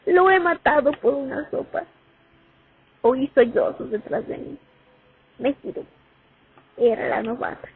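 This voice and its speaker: A woman's voice: high-pitched, expressive, a little slow, creaky, long pauses, husky, heavily acted. A woman playing a young Soviet sniper haunted by her war memories in a radio play. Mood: anguished, regretful. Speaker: actor in a student radio drama. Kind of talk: dramatic first-person monologue, radio play. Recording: muffled phone-like recording.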